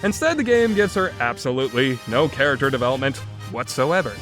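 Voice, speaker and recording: A man's voice: medium pitch, animated, brisk, a bit bright. Guy doing a blunt sarcastic game review, very up and down voice, bit of music behind. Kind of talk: opinionated review commentary.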